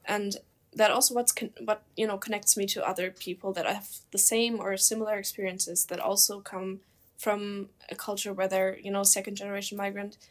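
A young woman with a German accent leading a casual conversation in an earnest, measured way. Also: medium pitch, little pitch movement. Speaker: discussion moderator.

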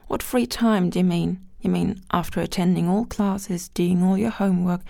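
Teen female student, low and heavily gravelly, dry close sound, earnest. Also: fairly even intonation, normal speed, mellow, dry recording. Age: teens. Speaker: student guest. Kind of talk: scripted panel discussion.

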